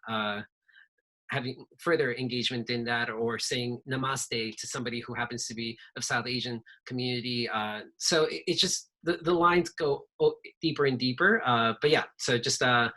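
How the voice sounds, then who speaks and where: A man's voice: medium pitch, bright, forceful tone, low-quality recording. A man whose first language is Spanish, speaking conversationally with many fillers as a panelist in an online workshop over a video call.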